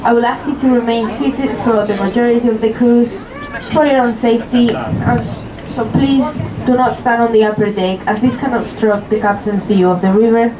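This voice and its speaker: A woman's voice: fairly high pitch, fairly even intonation, moderate pace, few pauses, a crowd in the background, poor audio. A young woman from Spain with a Spanish accent, giving live commentary as a tour guide on a river cruise boat, friendly and lightly joking.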